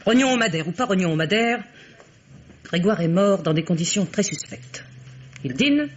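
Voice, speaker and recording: An actress playing a domineering, imperious aunt in an old French radio mystery, curt and commanding. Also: female voice, on the low side, expressive, normal speed, close to an everyday voice. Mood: stern, commanding. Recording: dull vintage radio sound, clear hiss.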